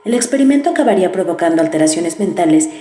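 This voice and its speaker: A woman reading a scripted narration in an even, steady delivery. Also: on the low side, quick pace, echoey room. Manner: even, read-aloud delivery.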